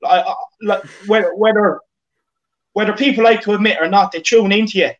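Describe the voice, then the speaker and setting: A high-pitched Irish man hosts a casual live stream about football, reading viewer comments aloud. He fills gaps with "um" and stumbles over repeated words. The sound is dull and lo-fi. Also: steady tone, brisk. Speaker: host.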